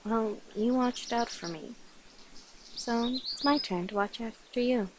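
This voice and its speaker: A woman voicing a sweet, caring vampire in a soft, hesitant ASMR roleplay. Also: on the high side, unhurried, noisy background, lightly acted. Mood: tender, caring.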